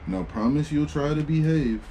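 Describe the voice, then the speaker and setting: A man's voice: a little high, moderate pace, warm tone, a little hiss or hum, voice pushed up, natural voice. Man reading as gracious, fussy girl playing librarian. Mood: gracious, excited. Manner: gracious, chatty, mildly fussy. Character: polite, bossy young girl playing a welcoming librarian. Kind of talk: storybook read-aloud dialogue.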